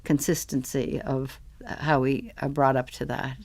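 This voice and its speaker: A woman's voice: very deep voice, little pitch movement, a little slow, a little mellow. A woman reflecting thoughtfully as a guest in a panel discussion.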